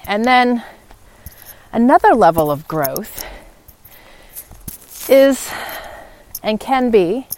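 A woman hosting a podcast in a reflective, unscripted monologue, pausing mid-sentence as she thinks, recorded outdoors on a walk. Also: a little high, animated, a little mellow. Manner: halting, pauses mid-sentence while thinking. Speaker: podcast host.

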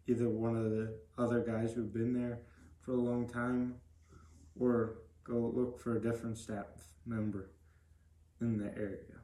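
A man's voice: fairly low pitch, mellow. A young adult man giving plain, brief answers as a guest in a casual interview. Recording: roomy echo.

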